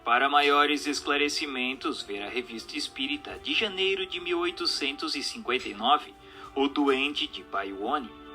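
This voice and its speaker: Guy reading an audiobook, very bright sharp voice, formal, bit of music behind him. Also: mid-range voice, animated, measured pace. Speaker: narrator reading a book aloud. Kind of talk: scripted narration, audiobook reading.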